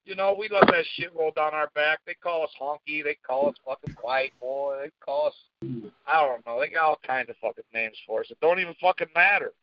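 A man with a slightly high voice chats casually as a podcast co-host. His manner is blunt and foul-mouthed. The sound is very dry and somewhat dull, a lo-fi recording. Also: a little fast, dry recording. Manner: coarse, profane, blunt. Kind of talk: casual chat.

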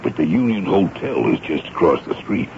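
An American man acting in a 1970s radio drama as a folksy, dutiful army sergeant, gravelly, plainspoken and deferential to his superior. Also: deep voice, sing-song, moderate pace, heavily acted. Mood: eager reporting. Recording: noisy, muffled radio broadcast recording.

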